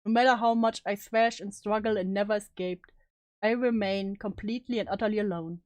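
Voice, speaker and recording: A woman's voice: mid-range voice, fairly even intonation, a little fast, a little mellow, dry recording, close to an everyday voice. A woman playing a guilt-ridden, despairing young student in a solemn, melodramatic story reading. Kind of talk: dramatic reading of story narration.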